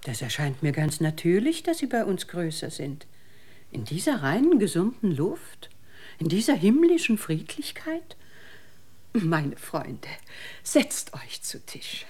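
An actress playing a very old, solitary hostess with a husky voice, lofty and ceremonious in a radio drama. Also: female voice, fairly low pitch, sing-song, measured pace, a little mellow, exaggerated voice. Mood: grand, serene. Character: very old, hermit-like lady hostess, serene and grand. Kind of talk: German radio drama acting.

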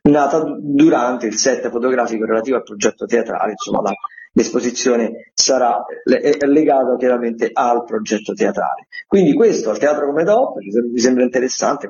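A man's voice: medium pitch, warm tone. Dull-sounding man hosting arts radio. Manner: digressive, halting, self-correcting asides. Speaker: radio host presenting theatre listings. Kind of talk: semi-improvised radio arts listings commentary.

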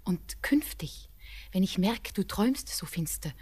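A young woman playing an anxious, devoted bride in a German radio drama, breathy and often near a whisper, with tender, fervent, poetic delivery. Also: mid-range voice, expressive, measured pace, darkish tone. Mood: soothing, tender. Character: anxious, devoted young bride keeping watch over her beloved.

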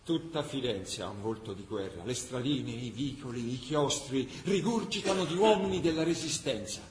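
Actor as fervent, swooping wartime chronicler. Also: male voice, mid-range voice, animated, slight background noise, close to an everyday voice. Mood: intense. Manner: emphatic, rhetorical, with weighted pauses. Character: fervent male chronicler of a city preparing to rise. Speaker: narrator in a radio drama. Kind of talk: dramatic scripted narration. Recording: roomy echo with clear background ambience.

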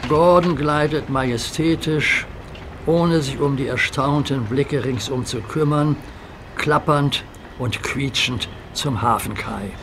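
Slow, calm male storybook narrator. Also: mid-range voice. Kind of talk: scripted children's story narration. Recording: hissy audio play recording.

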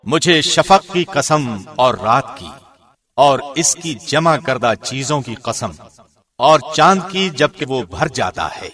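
A man with a mid-range voice reads scripture formally. His phrasing is solemn and measured, like a radio presenter's. He sounds slightly away from the microphone. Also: mid-range voice, animated, sharp tone, distant mic. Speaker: translation reader, radio host.

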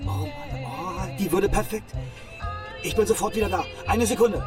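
Cocky, impulsive young rocker, lightish voice, slightly off-mic over music, radio drama. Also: male voice, sits high, expressive, moderate pace, distant mic. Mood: boastful, excitable. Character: boastful, impulsive young sixties rock musician. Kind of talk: German radio play acting.

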